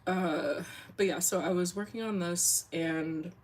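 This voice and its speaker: Deep, husky young woman streamer. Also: measured pace. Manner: rambling, lots of "like" and "um". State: sniffling. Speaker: comic artist streamer. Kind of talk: casual livestream chat while drawing.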